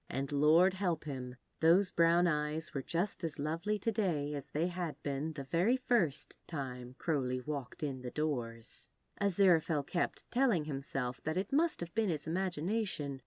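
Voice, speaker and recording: A woman reads fiction aloud as narrator. Her voice is low and moves through animated rises and falls. The sound is dry and free of room echo. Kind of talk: expressive scripted fiction narration.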